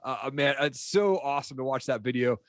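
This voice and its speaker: A man's voice: medium pitch, expressive, a little fast, no echo, studio quality. A man hosting a podcast interview, upbeat and casual, peppering questions with "man" and "dude". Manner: enthusiastic, says man and dude a lot. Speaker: podcast host.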